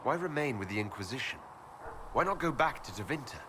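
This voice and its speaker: A man voicing a reserved, inquisitive leader in a video game, asking questions in a measured, courteous way. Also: mid-range voice, sing-song, background noise, natural voice. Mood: curious. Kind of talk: video game character dialogue.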